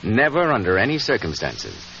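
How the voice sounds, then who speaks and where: A man's voice: lowish voice, wildly swooping pitch, normal speed, gravelly, a little hiss or hum. An American man announcing and advertising on 1940s radio with emphatic, polished salesmanship. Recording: muffled 1940s radio recording. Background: loud music.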